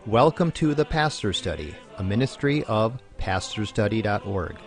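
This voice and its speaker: Male announcer, deep, measured read, faint music underneath. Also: expressive. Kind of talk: scripted program intro.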